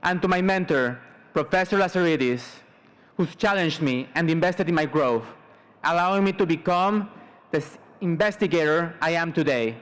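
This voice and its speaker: A man in his twenties with a fairly high-pitched voice delivers a prepared student address at a commencement. He grew up in Mexico and speaks formally, measuring out his words in short phrases. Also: normal speed, a bit bright. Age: 20s. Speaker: student salutatorian.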